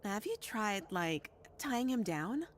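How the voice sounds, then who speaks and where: A woman acting a breezy, teasing, loyal fighter in a sci-fi audio drama, speaking in a casual, slangy, expressive way. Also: mid-range voice, takes it slowly, a little hiss or hum. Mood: casual, surprised. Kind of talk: naturalistic audio drama dialogue.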